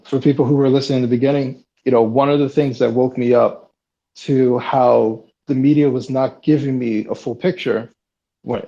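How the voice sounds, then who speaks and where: A sick man hosting a live online audio chat, talking in a relaxed, hesitant way with many ums and restarts. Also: medium pitch, gravelly. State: sick, voice deeper than usual. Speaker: host. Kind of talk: casual closing remarks on a live audio chat. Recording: slightly dull lo-fi online audio.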